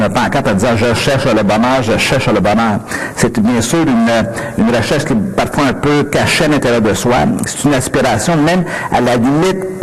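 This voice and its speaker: Husky Québécois man preaching. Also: mid-range voice, rough recording. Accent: Québécois French. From Quebec. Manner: conversational, jokes with the audience, frequent hesitations. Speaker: visiting pastor. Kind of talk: sermon. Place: church hall before a congregation.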